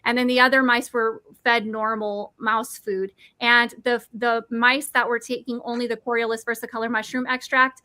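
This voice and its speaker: A woman's voice: fairly high pitch, level tone, moderate pace, hardly any pauses, sharp tone, no room sound. A woman in her forties enthusiastically explaining mushroom supplements, eager and nerdy, often restarting words. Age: 40s. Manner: eager, nerdy, self-correcting. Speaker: product presenter.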